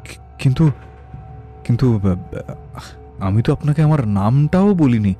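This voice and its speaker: A man playing a mellow, hesitant, puzzled visitor. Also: medium pitch, expressive, measured pace, faint music behind, close to an everyday voice. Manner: hesitant, stammering, questioning. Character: puzzled, curious male visitor sheltering from rain. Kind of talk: cartoon story voice acting.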